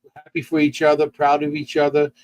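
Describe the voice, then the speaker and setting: A man guest on a live online show, talking warmly about support among paranormal investigators in an animated way. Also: fairly high pitch, fast, no echo. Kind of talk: casual chat.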